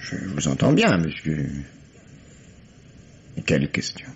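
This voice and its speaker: A man acting a patient, probing police commissioner in an old radio mystery, speaking formally and with measured politeness. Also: very deep voice, huge pitch swings, full character voice. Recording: dull, noisy old radio drama recording.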